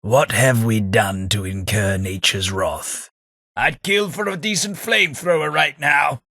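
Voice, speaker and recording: A man's voice: mid-range voice, unhurried, creaky, bright, forceful tone, no room sound, full character voice. A man voicing a snobbish, sardonic male ghoul aristocrat with an English accent, delivering game companion lines in a prim, dry upper-class drawl. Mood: disdainful. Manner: prim, snobbish, wryly sarcastic.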